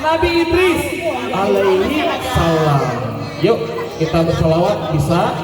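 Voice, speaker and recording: Male children's storyteller, high-pitched, rousing and playful call-and-response delivery, heavy echo in a big space. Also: very animated, measured pace. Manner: playful, exclaiming, draws out words to rouse the children. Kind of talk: lively live address to children, call-and-response. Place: large reverberant space before an audience of children. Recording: echoey hall, distant PA sound, children's crowd.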